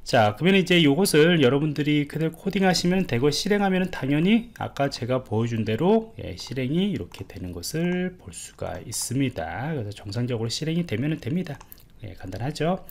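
Lively-voiced male coding instructor. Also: on the high side, fairly quick, slight background noise. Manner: relaxed explaining with many fillers and rhetorical questions. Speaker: programming instructor. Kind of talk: screen-recorded coding tutorial.

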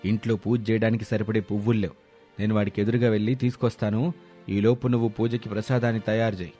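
A man's voice: lowish voice, flat delivery, fast talker, warm tone, faint music behind, close to an everyday voice. A man playing a stern but fond, worried middle-aged village father in a dramatised moral story, chiding and instructing.

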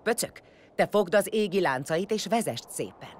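A woman's voice: mid-range voice, expressive, a little hiss or hum, close to an everyday voice. A woman playing a blunt, commanding warrior leader in an audio drama, vengeful and foul-mouthed. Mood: curt, commanding.

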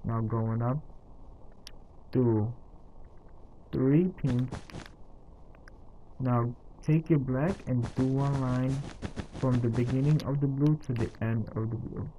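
A man narrating a casual step-by-step game tutorial in a halting, instructional way, counting out items as he goes. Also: medium pitch, steady tone, warm tone, slight background noise, rough recording. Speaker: tutorial host.

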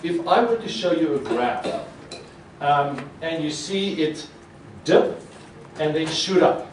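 Middle-aged man, Afrikaans speaker, animated conference talk, roomy hall, voice a little distant. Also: fairly high pitch, slow, slight background noise. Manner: animated, gestures a lot, acts out quoted reactions. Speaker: conference presenter. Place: conference hall with projector screen. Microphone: podium mic. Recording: echoey hall, mic a bit far.

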